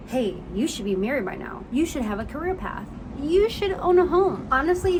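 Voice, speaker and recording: A woman's voice: fairly high pitch, background noise. A woman in her thirties giving an emphatic, encouraging motivational talk, calling listeners honey and y'all. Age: 30s. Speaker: vlogger.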